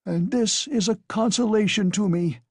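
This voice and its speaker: Guy doing a meek pleading drunk husband, raised husky voice, abject. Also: high voice, normal speed, no echo, clean studio sound, pitched above the performer's own voice. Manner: submissive, pleading.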